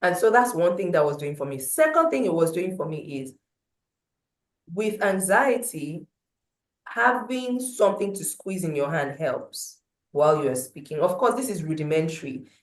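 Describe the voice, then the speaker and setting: A woman with a loud voice, telling a personal story at a meeting with animated swings in pitch and loudness. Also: moderate pace. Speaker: motivational speaker. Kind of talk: storytelling talk at a breakfast meeting. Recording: roomy echo.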